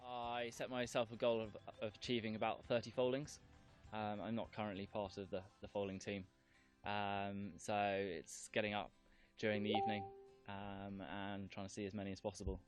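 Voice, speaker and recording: A young man, a trainee at a horse stud, answering interview questions in a relaxed, hesitant way. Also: on the low side, moderate pace. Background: background music.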